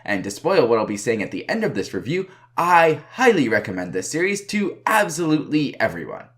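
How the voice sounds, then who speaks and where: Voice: medium pitch, big pitch swings, fairly quick. A reviewer narrating a scripted review in an informal, wry and emphatic way. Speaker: video game reviewer.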